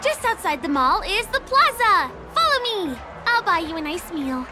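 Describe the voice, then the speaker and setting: Game role: cheerful young female guide, very high pitch, gentle, courteous, keen to help; music underneath. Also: sing-song, normal speed. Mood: eager. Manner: polite, friendly, eager to please. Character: cheerful, gentle young woman, local tour guide and performer. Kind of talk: English video game dub dialogue. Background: background game music.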